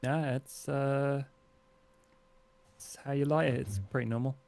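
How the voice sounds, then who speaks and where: A man playing a clueless, easygoing young stoner vampire in a tabletop game, with a casual, halting manner. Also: medium pitch, fairly even intonation, creaky, darkish tone. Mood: nonchalant. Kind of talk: in-character tabletop roleplay.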